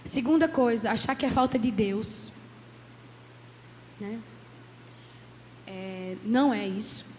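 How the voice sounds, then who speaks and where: A hoarse woman with a cold, a psychologist giving an earnest church talk and often asking "né?". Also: fairly high pitch, slow pace, pauses often, darkish tone. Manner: earnest, many "né?" tags. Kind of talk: church lecture. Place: church hall. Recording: roomy hall with faint background noise.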